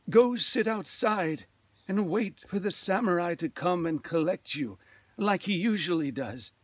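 A man voicing a solemn priest in a ghost story, giving grave, deliberate, emphatic warnings. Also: sits high, breathy edge, pitched above the performer's own voice, full character voice. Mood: urgent instructing.

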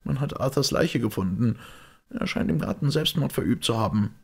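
Grey-bearded older doctor acted by a man, audiobook: urgent, breathless, pushed far above the narrator's natural pitch. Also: lively intonation, normal speed, creaky, breathy edge. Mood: agitated, dismayed. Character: agitated, grey-bearded older male doctor bearing dreadful news.

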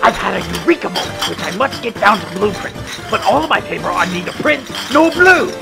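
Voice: medium pitch, big pitch swings, a little fast, creaky. A performer voicing an eccentric, manic male skeleton scientist, theatrical and erratic, in a comedic comic dub. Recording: echoey, music behind the voice.

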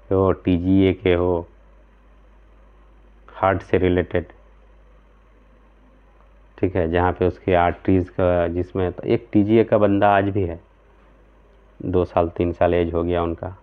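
A man in his thirties talks to camera from a small room at home, explaining remedies in an earnest, step-by-step way. His voice sits low and stays flat in pitch. The recording is thin and tinny, like a phone line. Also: clean tone. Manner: earnest, explanatory, gesturing with both hands, frequent "theek hai" check-ins. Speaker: homeopathic practitioner.

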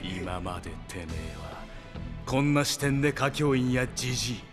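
An actor playing a tough, cool, vengeful young fighter in a Japanese audio drama, terse, taunting and quietly menacing. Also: male voice, mid-range voice, animated, moderate pace, music in the background, close to an everyday voice. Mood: cold, menacing.

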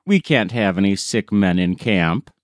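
A man's voice: on the low side, expressive, a little slow, dry recording, clean studio sound. A man reading a storybook voices an ill-humored, unyielding logging camp foreman. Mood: curt, stern.

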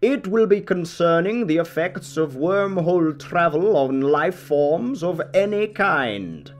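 A man's voice: on the high side, expressive. A man voicing a formal teacher announcing a class assignment. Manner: formal, deliberate.

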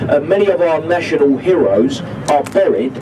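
A man's voice: a little high, lively intonation, moderate pace. A man on a river boat crew giving chatty, jokey tour commentary over a handheld microphone. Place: open deck of a river boat, outdoors. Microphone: handheld microphone over a boat speaker, filmed from a distance. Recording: distant PA voice with boat noise.

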